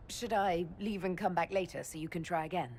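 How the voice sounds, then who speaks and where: A woman playing a composed, diplomatic leader, polite and measured, in a video game cutscene. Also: on the low side, steady tone, a little mellow, a little hiss or hum, lightly acted. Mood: concerned.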